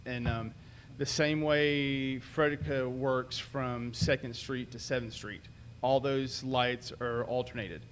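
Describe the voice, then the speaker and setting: A man with a loud voice, a local business co-owner, making a friendly, rambling public comment at a city meeting. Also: medium pitch, fairly even intonation, takes it slowly, a little hiss or hum. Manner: friendly and talkative, rambling with fillers. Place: city commission chamber. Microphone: podium microphone.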